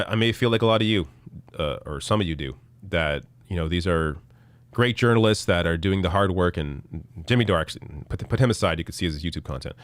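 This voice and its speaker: A man in his thirties, hoarse from losing his voice, hosting a casual live political stream with blunt, profane commentary and frequent restarts. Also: deep voice, dry recording. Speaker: political show host reading viewer chat.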